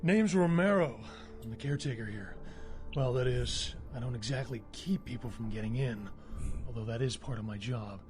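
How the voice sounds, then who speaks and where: A man's voice: mid-range voice, moderate pace, close to an everyday voice. A man voices a cocky male cemetery caretaker from a video game, happiest with a gun in hand. His mid-range voice is wry and swaggering, with a colloquial, gleeful relish for violence. Faint music plays behind him. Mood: wry, boastful. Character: cocky, trigger-happy male cemetery caretaker and zombie shooter.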